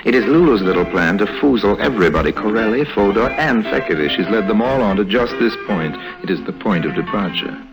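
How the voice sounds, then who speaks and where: An actor playing a wry, worldly, self-assured con artist in old radio drama, telling the tale with unhurried irony. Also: male voice, on the low side, expressive, rough recording. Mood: sly, ironic. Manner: wry, ironic, unhurried storytelling. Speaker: lead actor and narrator. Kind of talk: 1950s radio drama narration and dialogue. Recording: old radio recording with music behind.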